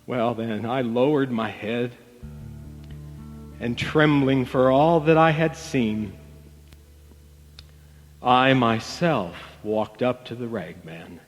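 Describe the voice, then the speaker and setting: Slow, theatrical male storyteller in long reverb. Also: medium pitch, animated. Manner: theatrical, emotive, with weighty pauses. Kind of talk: dramatic storytelling of a devotional parable. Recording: long reverb, music bed behind voice. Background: acoustic guitar music.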